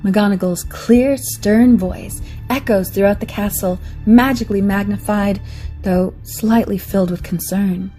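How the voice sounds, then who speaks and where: A woman's voice: mid-range voice, fairly even intonation, a little slow, dark tone, poor audio. A woman reading scripted scene narration for an audio drama. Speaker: story narrator. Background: faint music.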